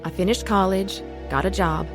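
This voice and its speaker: A woman's voice: medium pitch, steady tone, normal speed, natural voice. An actress playing a battered young mother with a troubled past, weary yet determined, in a radio drama. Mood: resolute. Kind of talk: radio drama narration and acting. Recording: radio drama with music behind.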